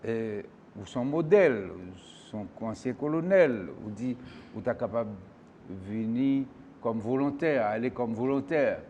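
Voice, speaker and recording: A male talk show host who presses his guest with question after question. His voice sits at an average pitch for a man. A faint low rumble runs behind him. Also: expressive, a little slow, a little mellow, lo-fi sound. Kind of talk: call-in political talk show.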